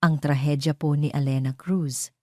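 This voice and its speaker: A woman's voice: low-pitched, fairly even intonation, moderate pace, mellow, no room sound, studio quality, close to an everyday voice. A woman voicing a hesitant, deferential male informant reporting to an employer in an audio drama. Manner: hesitant, respectful.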